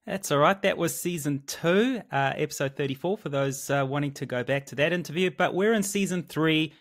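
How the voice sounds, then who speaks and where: A man's voice: fairly high pitch, brisk. A man speaking as a podcast host, introducing the episode and interviewing a guest.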